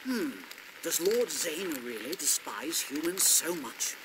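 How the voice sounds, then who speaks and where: A man's voice: a little high, very animated, takes it slowly, background noise. An actor playing a polite, flustered middle-aged male knight in a video game, hesitant, deferential and earnest. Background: music.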